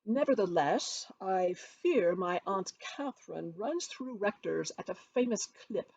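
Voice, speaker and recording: A woman voicing a proud, reserved, disdainful gentleman of high rank in an audiobook, in a cool, dry, formal manner. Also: expressive, a little slow, vocal fry, dry recording. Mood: dryly amused. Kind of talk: audiobook character voice.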